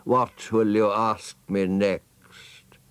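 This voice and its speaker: A haughty storybook python, voiced by a man, very slow in delivery. Each word is stretched out with open scorn and disdain. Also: on the low side, little pitch movement, clean tone, no echo, voice pushed up. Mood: scornful. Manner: slow, drawn-out, dreadfully scornful. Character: haughty, scornful bi-coloured python rock snake. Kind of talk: children's storybook character voice.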